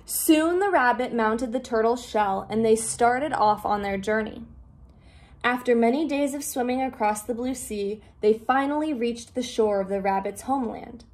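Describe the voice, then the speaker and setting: A woman's voice: on the high side, moderate pace, darkish tone. A woman narrating a children's story aloud as a storyteller.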